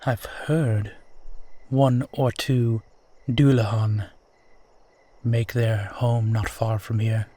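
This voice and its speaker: A man playing a proud, amused centuries-old vampire in a roleplay audio, speaking in a measured, theatrical, formal and condescending way. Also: medium pitch, flat delivery, warm tone. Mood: smooth, teasing. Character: suave 450-year-old male vampire guiding a lost stranger, proud, amused. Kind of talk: slow dramatic roleplay audio monologue.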